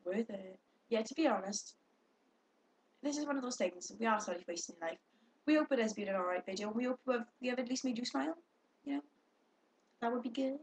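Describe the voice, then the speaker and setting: A woman's voice: a little high, fast talker, pauses often, low-quality recording. A young woman chatting casually to her webcam, swearing freely and talking to viewers. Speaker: vlogger.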